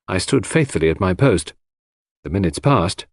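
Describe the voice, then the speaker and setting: A man's voice: sits low, animated, normal speed, no room sound, studio quality. A man reading a classic mystery as scripted audiobook narration.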